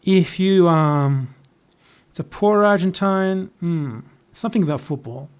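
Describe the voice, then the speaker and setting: The fairly high-pitched Australian guy on a late livestream, fielding chat questions with blunt, sardonic opinions. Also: measured pace, clean tone. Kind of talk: casual off-the-cuff political chat.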